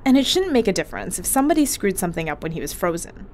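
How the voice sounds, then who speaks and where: A woman's voice: medium pitch, big pitch swings, rapid-fire, a little mellow, a little hiss or hum, lightly acted. A woman acting in an audio drama as a brash, irritable, foul-mouthed ship medic with a blunt, sarcastic, defensive manner.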